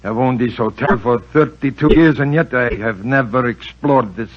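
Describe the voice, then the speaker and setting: A man's voice: mid-range voice, lightly acted. Uneasy, hesitant hotel owner, voiced by a man; lo-fi vintage radio. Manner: halting, fills pauses with hesitations. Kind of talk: 1940s radio acting. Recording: dull old radio recording with hiss.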